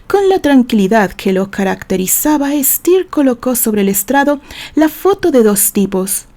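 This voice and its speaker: A woman with a Mexican accent narrates an audio novel. Her voice is fairly high and mellow, with the dramatic scripted delivery of a storyteller. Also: very animated, normal speed. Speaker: author and narrator.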